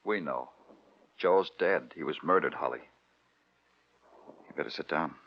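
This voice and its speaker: Terse, world-weary radio detective, muffled sound. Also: male voice, extremely low pitch, a little slow, slight background noise. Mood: somber, probing. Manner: clipped, sardonic questioning and deadpan narration. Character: terse, dogged, world-weary male New York police detective. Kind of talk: 1950s radio crime drama acting and first-person narration. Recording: dull, muffled old radio recording with music.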